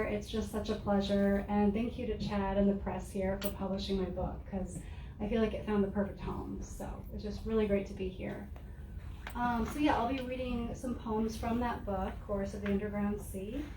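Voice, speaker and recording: A soft-spoken woman reading her own poems aloud in a measured, deliberate way to a live audience. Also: medium pitch. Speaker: poet reading her work. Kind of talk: poetry reading. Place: room with an audience. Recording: roomy, distant mic with faint noise.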